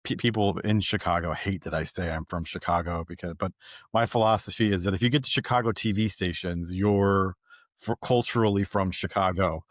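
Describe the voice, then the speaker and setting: American guy hosting a podcast, deep voice, rambles and restarts, dry close sound. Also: fairly even intonation, a little fast, dry recording. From American Midwest. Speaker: podcast host. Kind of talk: casual conversational interview.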